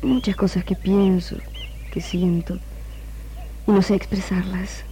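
An actress with an Argentine accent playing a troubled, reticent woman in love in a 1960s radio drama, breathy and hesitant. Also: medium pitch, flat delivery, unhurried, dark tone, close to an everyday voice. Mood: pained, hushed. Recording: faint noise of old radio recording.

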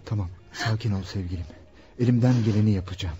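An actor playing an attentive, earnest young fiancé in a Turkish radio play, speaking tenderly. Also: male voice, fairly low pitch, fast talker, dark tone, breathy edge. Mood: soothing. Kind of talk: Turkish radio theatre acting. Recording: dull lo-fi radio drama recording.

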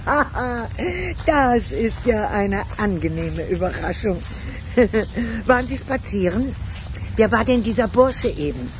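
A woman's voice: mid-range voice, animated, slow pace, long pauses, noisy background. An actress playing an effusive, theatrical old woman, a doting teacher, in a radio drama. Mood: delighted. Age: older. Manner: gushing, repeats words for emphasis.

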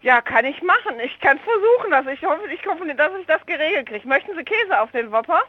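A high-pitched woman comes through a dull, lo-fi telephone line. She sounds like a restaurant worker taking a call, polite and matter-of-fact in her unscripted talk. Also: big pitch swings, a little fast, no room sound. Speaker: fast-food restaurant employee. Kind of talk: unscripted phone conversation.

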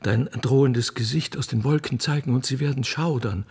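A man voicing a deferential cherub, speaking gently and reverently in a hushed way, in a theatrical radio play. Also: medium pitch, normal speed, husky, big-room sound, voice pushed down. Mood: soothing. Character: soothing, deferential male cherub attending an aged God.

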